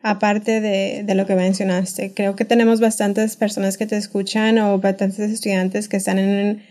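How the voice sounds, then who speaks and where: A woman co-hosts a relaxed podcast conversation. She listens closely, drops short affirmations, and asks long questions in an even voice. It is recorded close on a studio microphone. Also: medium pitch, normal speed. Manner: supportive, asks questions, many short backchannels. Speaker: podcast co-host.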